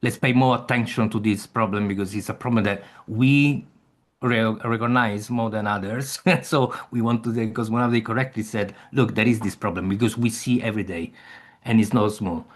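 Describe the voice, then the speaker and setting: A man leading an online meeting, speaking haltingly with frequent restarts and non-native phrasing. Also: lowish voice, fairly even intonation. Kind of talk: casual discussion.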